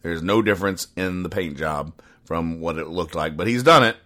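A man with a deep, low-pitched voice hosts a comedy commentary show. He speaks in a deadpan, pedantic manner, haltingly spelling out every point in needless detail. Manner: deadpan, pedantic, over-explaining. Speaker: podcast host.

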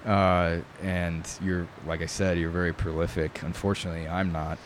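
A man's voice: low voice, flat delivery, moderate pace, slight background noise. A man from New York who grew up speaking Italian first, a guest talking casually in an interview, thoughtful, with many fillers. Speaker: guest, knife maker.

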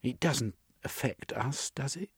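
A man's voice: mid-range voice, a little slow, breathy edge, no echo, clean studio sound, voice pushed up. A man voicing a shy, uneducated young London woman of eighteen in an audiobook, speaking in simple dialect phrases. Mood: shy, curious. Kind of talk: audiobook character voice.

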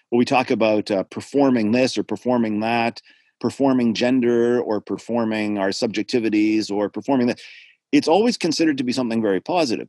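A man's voice: medium pitch, no room sound. A man speaking thoughtfully and at length as a guest in a discussion, over a video call from home. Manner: thoughtful, digressive, lively intonation. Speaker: art theorist guest. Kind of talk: reflective spoken lecture-like talk.

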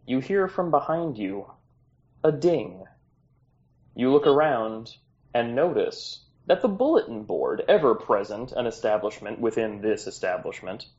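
A man's voice: mid-range voice, a little mellow, muffled recording. A man hosting a tabletop game podcast as game master, narrating scenes with jokey asides.

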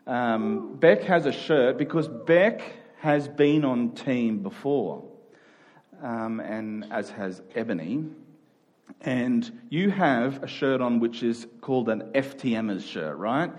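Male preacher, Australian accent, medium pitch, measured and deliberate church delivery. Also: expressive, darkish tone. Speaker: pastor. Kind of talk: sermon with scripture reading and prayer.

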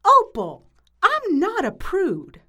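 A woman's voice: extremely high pitch, wildly swooping pitch, slow, sharp tone, full character voice. A woman playing a prim, flustered, easily shocked lady in an audio drama.

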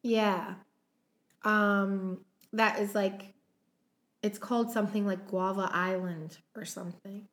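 This is a woman co-hosting a casual movie podcast, working her way through the cast list. She talks at a leisurely, drawn-out pace and leans on "um" fillers as she checks her notes. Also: mid-range voice, fairly even intonation, fairly bright tone. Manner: unhurried, many "um" fillers, running through notes. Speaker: podcast co-host. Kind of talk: casual chat about a film's cast.

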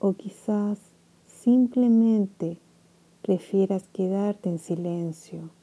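A woman guiding a sleep meditation in a soft, soothing way, with long pauses between phrases. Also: mid-range voice, poor audio. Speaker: meditation guide.

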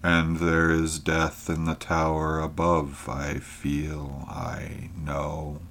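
A man's voice: extremely low pitch, completely flat delivery, very slow, full character voice. Man voicing ancient sorrowful blind creature. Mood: mournful. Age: very old figure. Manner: hushed, mournful, breathy at times. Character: ancient blind tortured alien elephant-headed creature, male, sorrowful.

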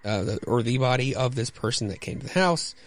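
A male podcast host with an animated, mid-pitched voice in a dry recording. He rambles casually and haltingly through his commentary, poking fun at himself. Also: dry recording. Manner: rambling, self-deprecating, halting. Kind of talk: casual comic commentary and narration.

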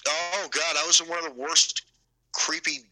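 A man's voice: a little high, little pitch movement, normal speed, a loud crowd, low-quality recording. A man in his forties, a guest in a casual chat, telling rambling, self-mocking stories. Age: 40s.

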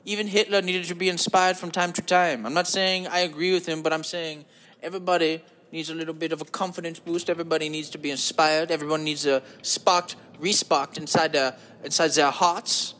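Dude doing a goofy flirty german guy, high voice, thick silly accent, creepy and campy, rambly podcast bit. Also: fairly even intonation, a little fast, bright, forceful tone. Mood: upbeat, rambling. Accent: exaggerated German.

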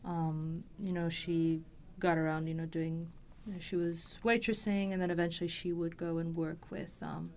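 A woman in a fairly low, very even voice. She tells a personal story as an interview guest, casually and with some hesitation, finding her words as she goes. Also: moderate pace, few pauses, slight background noise. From Los Angeles, USA. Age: 20s. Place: recording studio.

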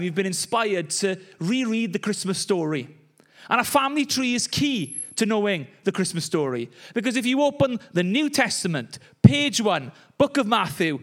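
A man's voice: high voice, a bit bright. A young Welsh man, a pastor, preaching a conversational sermon with joking asides. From Wales. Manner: chatty, joking asides with laughs.

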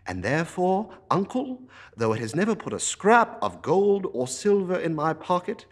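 Man voicing a cheerful young nephew, pitched well above his own voice, earnest and pleading. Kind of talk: storybook character reading.

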